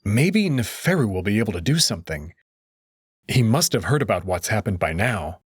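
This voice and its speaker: A man's voice: on the low side, slightly rough, dry recording, clean studio sound. A man narrating a visual novel in the first person, reading it expressively and dramatically.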